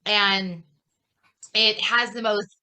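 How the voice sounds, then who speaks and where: A woman's voice: medium pitch, fairly even intonation, very slow, sharp tone, clear, resonant tone, rough recording. A woman in casual conversation, explaining things in an informal, rambling way. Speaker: podcast host. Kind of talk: casual chat.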